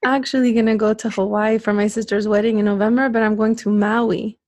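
A woman's voice: mid-range voice, little pitch movement, a little fast, darkish tone. A woman hosting a casual interview, friendly and laughing often as she asks rambling questions. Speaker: interview host.

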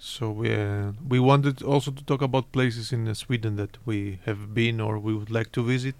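A man's voice: on the low side, steady tone, normal speed, a little mellow, clear, resonant tone, no room sound. A young man hosting a student radio show in English, which is not his first language, talking in a hesitant way with many fillers. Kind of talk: casual chat.

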